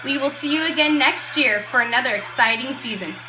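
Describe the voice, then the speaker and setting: A woman playing a brash, slangy radio reporter and pitchwoman in an amateur 1920s broadcast. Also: a little fast, lightly acted. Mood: excited. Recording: roomy echo, music behind.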